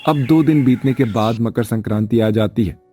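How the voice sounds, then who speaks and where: A man serves as narrator of a moral tale. His voice sits at an average male pitch, and he reads from a script in a storytelling style. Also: normal speed, warm tone, soft background music.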